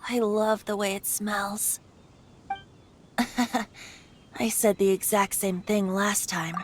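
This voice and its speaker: A woman's voice: mid-range voice, steady tone, slow pace, slight background noise, lightly acted. A woman voicing a playful, sly youthful male bard in a video game, with a light, teasing delivery. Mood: wistful, confiding. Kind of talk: video game cutscene acting.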